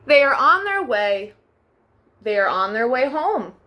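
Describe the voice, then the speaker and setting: A young woman hosting a children's storytime, reading picture books aloud in a gentle sing-song way with soft, drawn-out refrains. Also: fairly high pitch, big pitch swings.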